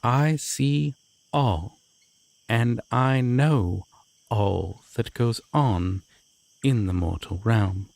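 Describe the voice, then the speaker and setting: A man playing a courteous, formal deity of dreams in an audio roleplay, speaking to the listener with measured, theatrical pauses. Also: medium pitch, vocal fry, a little mellow, no room sound. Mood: composed, grand. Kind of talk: immersive roleplay addressed to the listener.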